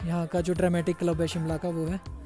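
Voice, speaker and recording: A man's voice: fairly high pitch, monotone, fairly quick, mellow, soft background music. A young man, giving a chatty walking-tour commentary outdoors on a busy street, slipping English words into his talk. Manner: chatty, says "yaar" a lot, mixes in English. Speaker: travel vlogger.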